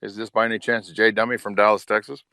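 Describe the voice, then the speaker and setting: A man's voice: lowish voice, little pitch movement, fast, bright, forceful tone, dry recording, clean studio sound. A man in his fifties chatting candidly on a call-in show, rambling, repeating himself and swearing freely. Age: 50s. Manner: rambling, repetitive, profane. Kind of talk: casual, candid chat.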